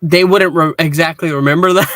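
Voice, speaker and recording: A man co-hosting a casual fan discussion, talking earnestly and haltingly, often repeating words as he thinks. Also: fairly high pitch, lively intonation, fairly bright tone, no room sound, studio quality. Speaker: podcast co-host.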